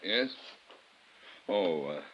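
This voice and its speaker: A man's voice: low voice, expressive, drawn-out words, highs cut off, a little hiss or hum, exaggerated voice. An actor playing a tired, guarded male hospital doctor, formal and subdued, in a 1950s radio drama. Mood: weary, grave. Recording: vintage radio drama recording.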